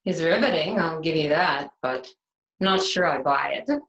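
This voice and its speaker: A woman's voice: low-pitched, lively intonation, a little slow, fairly bright tone, highs cut off, full character voice. A woman playing an inquisitive, skeptical wife in a screenplay table read, recorded in a roomy space. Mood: curious, doubtful. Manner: questioning, skeptical. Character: inquisitive, doubtful wife chatting at bedtime. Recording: roomy, echoing home recording.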